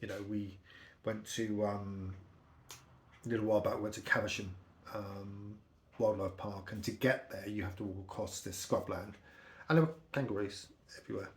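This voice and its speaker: A middle-aged British man with a slightly croaky voice, chatting casually and telling personal anecdotes in a rambling, conversational way. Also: lowish voice, steady tone, normal speed, reverberant. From United Kingdom. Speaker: vlogger.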